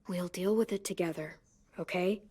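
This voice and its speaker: A woman playing a protective, patient teenage girl looking after a child, in naturalistic game acting with a steady delivery. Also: lowish voice, normal speed, a little hiss or hum, natural voice. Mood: soothing. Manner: gentle, coaxing, steady. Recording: music clearly behind the voice.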